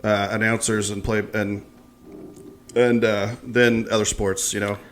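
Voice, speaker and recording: A man's voice: fairly low pitch, level tone, unhurried, a bit bright. An American man hosting a podcast, talking in a casual, conversational interview style. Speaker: podcast host.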